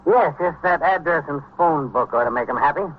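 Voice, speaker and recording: An old radio-drama police chief, played by a man, with a fairly high male voice and American accent. He is brisk, plainspoken and in charge. The recording is dull, with faint noise. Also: expressive, close to an everyday voice. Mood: businesslike. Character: busy, collegial male police chief of detectives.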